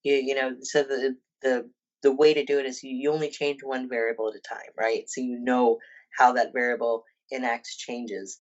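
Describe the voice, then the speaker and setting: A woman's voice: rumbling low, little pitch movement, moderate pace, lo-fi sound. A woman in her fifties, a personal trainer and bodybuilder, talking casually as a podcast guest with many ums and restarts. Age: 50s.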